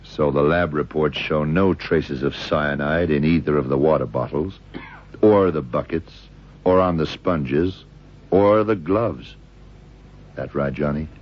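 Very deep-voiced tough detective. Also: male voice, a little slow. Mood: commanding. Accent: American. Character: tough, shrewd, sardonic male American police detective. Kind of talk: old radio crime drama acting. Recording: muffled, noisy old radio drama recording.

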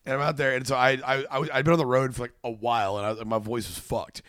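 A man's voice: medium pitch, fairly even intonation, quick pace, a bit bright, smooth, no echo, clean studio sound. A man hosting a casual podcast, rambling with lots of restarts and swearing. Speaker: podcast host.

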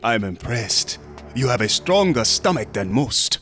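An actor playing a smug, unctuous museum assistant in a horror radio drama, courteous and insinuating, sometimes dropping into whispers. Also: male voice, mid-range voice, sing-song, unhurried, fairly bright tone, faint music behind. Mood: sinister, amused. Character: sinister, smug, unctuous museum assistant guarding macabre exhibits.

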